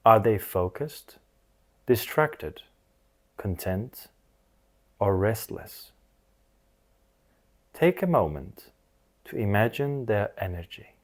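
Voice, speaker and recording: A man with a fairly low voice guides a meditation. He speaks extremely slowly, in short soothing phrases. Long, measured pauses separate each instruction. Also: big pitch swings.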